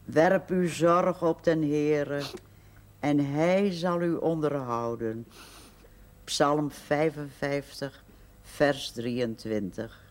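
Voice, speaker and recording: A man's voice: a little high, steady tone, extremely slow. An actor playing a solemn man reciting scripture in a television drama.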